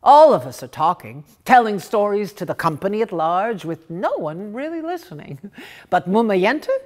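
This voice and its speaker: A woman reading a short story as theatrical narration, dramatic, with emphatic pauses. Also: mid-range voice, sing-song, a little mellow. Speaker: reader of short stories.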